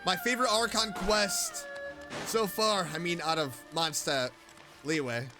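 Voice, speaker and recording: A streamer giving casual commentary while playing a game, excitable and joking, chatting back at the characters. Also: mid-range voice, measured pace. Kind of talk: casual let's-play commentary. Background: game music.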